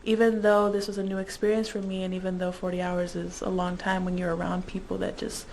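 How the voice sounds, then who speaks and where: A woman's voice: on the low side, monotone, fairly quick, darkish tone, slight background noise, low-quality recording. A woman in her twenties, born in the Dominican Republic and raised in Indiana, talking casually about her internship as an interview guest. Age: 20s.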